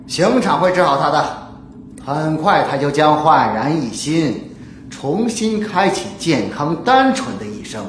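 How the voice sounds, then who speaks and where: An actor playing a callous, sardonic prison escort guard in a radio drama. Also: male voice, on the high side, big pitch swings, measured pace. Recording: echoey, noisy ambience.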